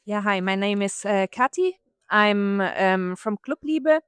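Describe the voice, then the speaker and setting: A woman speaking on a panel, halting and searching for her words. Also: medium pitch, lively intonation, a bit bright, clear, resonant tone, dry recording. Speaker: sustainability advocate, panel guest. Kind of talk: panel discussion.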